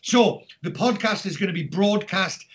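A man's voice: high voice, expressive, normal speed. A middle-aged man from Newcastle with a Geordie accent, hosting a chatty podcast in an upbeat way over an internet call. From Newcastle, north-east England. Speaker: podcast host. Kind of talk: chatty podcast introduction and announcements. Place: remote Skype call from home during lockdown. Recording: dull lo-fi internet call audio.

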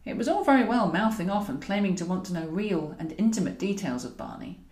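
A British woman narrating a comic novel in a wry, expressive way, as a scripted audiobook reading. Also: mid-range voice, fairly quick. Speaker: author and narrator. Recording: roomy, echoing voice recording.

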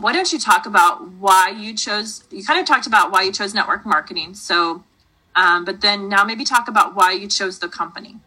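A woman's voice: medium pitch, sharp tone, rough recording. A woman in her late thirties hosting a casual interview, rambling in a friendly way with many likes and ums. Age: 30s. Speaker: host, interviewer.

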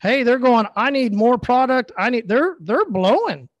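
A man's voice: extremely high pitch, very animated, moderate pace, no echo, muffled recording. A middle-aged man co-hosting a podcast, talking earnestly in long, rambling, repetitive stretches. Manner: earnest, rambling, repeats himself. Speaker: podcast co-host. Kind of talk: casual motivational talk.

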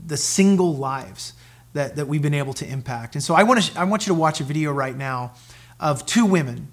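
A pastor addressing his congregation, a man with a slightly high voice. He talks in a halting way, with fillers and repeated words as he goes. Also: expressive. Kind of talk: sermon announcement to a congregation.

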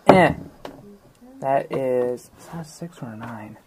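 A woman's voice: rumbling low, a little slow, a little mellow, a little hiss or hum. A woman playing a tabletop game, chatting casually as one of the players.

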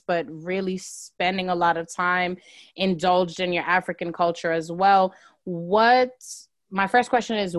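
Chatty woman host with a low voice. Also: a bit bright, dry recording, studio quality. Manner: chatty, enthusiastic, lots of "like". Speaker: podcast host. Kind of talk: casual interview chat.

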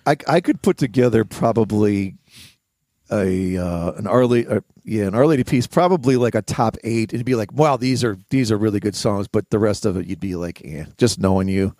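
A man co-hosting a podcast, giving casual album commentary in a halting but enthusiastic manner. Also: fairly low pitch, mellow, no room sound, clean studio sound. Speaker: podcast co-host.

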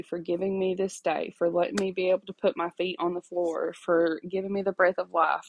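A woman talks to her podcast listeners from home, a little low in pitch and sounding stuffed up from her sinuses. She is chatty and apologetic, laughs easily and keeps checking in with an "okay?". The recording is close and dry. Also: no echo. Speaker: podcast host. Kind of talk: casual faith podcast chat with prayer. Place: at home alone.

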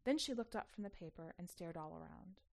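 A woman reading scripted fiction as an expressive podcast storyteller. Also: on the low side, brisk, vocal fry. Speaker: podcast editor and story reader.

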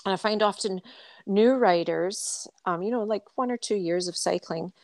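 A woman's voice: medium pitch, normal speed, a little mellow, dry recording. A woman with a Scottish accent chatting casually as a coach and co-host, explaining things and posing questions as she goes. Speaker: endurance coach and podcast co-host. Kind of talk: casual conversational podcast talk.

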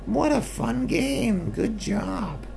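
Man voicing a small eager skunk, swooping pitch. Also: sing-song, very slow, vocal fry, darkish tone, slight background noise, exaggerated voice. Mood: delighted, shy. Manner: eager, chatty, exclaiming. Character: small friendly lonely skunk, eager to play. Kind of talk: bedtime story character voice for children.

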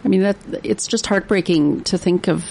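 A woman's voice: fairly low pitch, normal speed, gravelly, warm tone, a little hiss or hum. A woman hosting a radio interview in a studio, talking hesitantly with many fillers and self-deprecating asides. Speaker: radio show host.

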